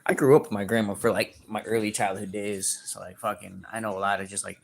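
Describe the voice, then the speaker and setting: A man in his early thirties livestreaming, reading chat aloud and joking crudely, with sudden loud outbursts. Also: fairly low pitch, fairly even intonation, quick pace, gravelly. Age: 30s.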